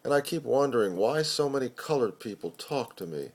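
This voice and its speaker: Male poetry reader, medium register, measured storytelling delivery with deliberate pauses. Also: medium pitch, animated, a little mellow, breathy edge. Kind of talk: dramatic spoken-word poetry reading.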